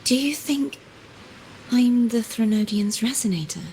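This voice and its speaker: Game heroine casting: composed, mysterious young woman, higher register, measured formal delivery, faint background noise. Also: a little mellow. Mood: poised, musing. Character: composed enigmatic young woman trapped in a realm. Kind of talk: video game character dialogue.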